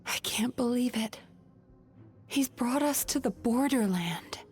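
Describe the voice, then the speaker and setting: A woman voicing a calm, wise young dream healer in a video game, measured and reassuring as she explains. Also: a little high. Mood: alarmed, serious. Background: music.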